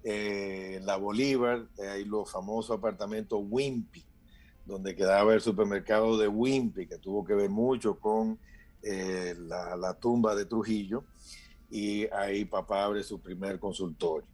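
Dominican man in his fifties, low voice, relaxed storyteller who acts out quotes; casual talk host. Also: a little slow. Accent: Dominican Spanish. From Dominican Republic. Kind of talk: casual chat.

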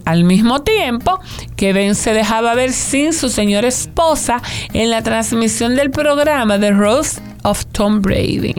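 Slow-talking woman dishing celebrity gossip. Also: on the high side. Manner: slow, hesitant, many "eh" fillers, wry asides. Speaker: entertainment show co-host.

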